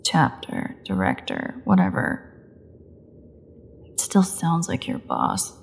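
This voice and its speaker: Woman playing a slow, gravelly, sardonic female vampire. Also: low voice, huge pitch swings, slight background noise, heavily acted. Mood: bitter, sarcastic. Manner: sardonic, teasing, breathy half-whispers, slow deliberate delivery. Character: starving captive female vampire, taunting, flirtatious, desperate. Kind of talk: scripted audio roleplay monologue.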